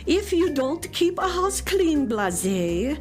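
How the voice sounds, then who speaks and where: Woman playing firm, exasperated cat mother, lively pitch. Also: slow, faint music behind, exaggerated voice. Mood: firm, lecturing. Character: patient but firm cat mother of a messy daughter. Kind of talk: children's radio play acting.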